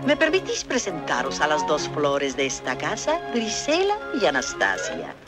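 A woman's voice: sits low, wildly swooping pitch, normal speed. An actress playing a haughty, domineering middle-aged stepmother in a children's audio fairy tale, with commanding, ceremonious speech. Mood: obsequious. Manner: commanding, ceremonious formal address. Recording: loud music behind the voice.

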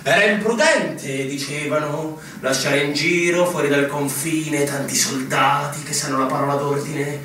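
Echoey, high-pitched grumbling veteran guard. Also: male voice, unhurried, few pauses, pushed and bright. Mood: grumbling explanation. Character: aggrieved long-serving male fortress guard soldier. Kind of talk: theatrical radio drama acting. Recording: very echoey, distant, clearly noisy recording.

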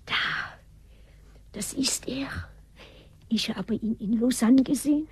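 Anxious, high-voiced maid. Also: female voice, slow pace, mellow, breathy edge, dry recording, exaggerated voice. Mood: frightened. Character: anxious French lady's maid, young, timid and fearful. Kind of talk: German radio drama acting.

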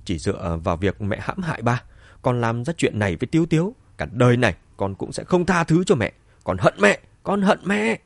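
A man voicing a hurt, outraged young mother confronting her own mother in a tearful, shouted reproach, as in an audiobook. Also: mid-range voice, big pitch swings, brisk, no echo. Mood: anguished, hateful. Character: anguished adult daughter, hurt and outraged.

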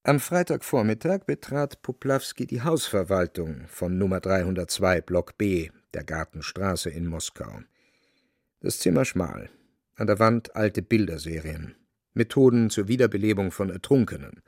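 A man narrating a literary radio play as scripted storytelling, with a creaky voice. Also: sits low, lively intonation, normal speed, a little mellow, no room sound, studio quality, full character voice.

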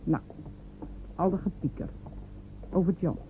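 A woman's voice: on the low side, fairly even intonation, unhurried, lightly acted. A woman playing doubting, prying neighbour Nancy. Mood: doubtful. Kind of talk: radio play acting. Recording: narrow phone-like radio recording with faint hiss.

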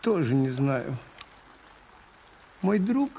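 An actor playing a humble, shrewd, gentle priest in a radio play, modest, hesitant and thoughtful, with a husky voice. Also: male voice, medium pitch, big pitch swings, extremely slow, muffled recording, slight background noise, full character voice. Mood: reflective. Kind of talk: Soviet radio play acting.

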